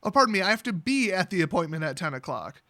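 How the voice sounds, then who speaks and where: A man's voice: high-pitched, fast. An American man telling a personal story in a casual, rambling way, with a sarcastic, jokey delivery. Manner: sarcastic, animated, jokey exaggeration.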